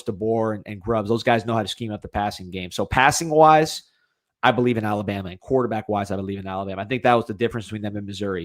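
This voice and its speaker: A man hosting a sports podcast, talking casually and repeating words as he works through his thoughts. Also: lowish voice. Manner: loose, repeats words while thinking. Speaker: podcast host.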